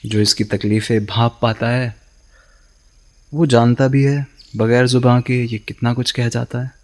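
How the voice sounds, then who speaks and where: A man's voice: mid-range voice, level tone, measured pace, darkish tone, natural voice. A man playing wry heartbroken young Darpan. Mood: wistful. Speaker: radio drama actor. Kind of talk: radio play acting.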